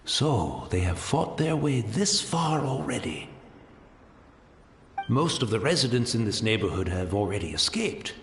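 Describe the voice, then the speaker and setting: A serene old blind tea master, courteous and unhurried in thought. His mid-pitched voice rises and falls expressively, and it rings in an echoey room over a faint hiss. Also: sing-song. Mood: reflective. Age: older. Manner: gentle, formal, reflective. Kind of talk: video game voice acting.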